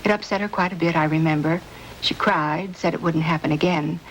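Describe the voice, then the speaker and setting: A proper, gossipy landlady, played by a woman, talks in a fussy, disapproving, chatty way. Her voice sits a little low, and a faint hiss runs under it from the old radio recording. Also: fairly even intonation, fairly quick, close to an everyday voice, poor audio. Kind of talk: 1950s radio drama acting.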